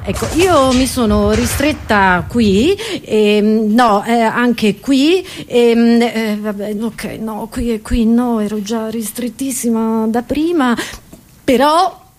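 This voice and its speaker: High-pitched, frantic female comedian. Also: takes it slowly, darkish tone, faint music behind. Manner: frantic, exclamatory, stammering repetitions. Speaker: stand-up comedian. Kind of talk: theatrical comic monologue.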